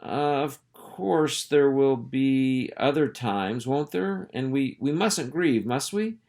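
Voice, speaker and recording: A man's voice: mid-range voice, slow, gravelly, darkish tone, voice pushed up. A man voicing a fussy, genteel female dog, a long-suffering sister and mother in a storybook, sighing and overly polite. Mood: anxiously polite.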